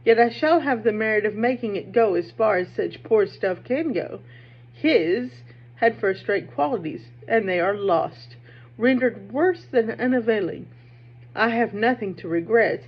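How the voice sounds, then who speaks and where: A woman's voice: medium pitch, breathy edge. A woman reading aloud as a cruel, gloating man from a gothic novel. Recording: dull, muffled lo-fi recording.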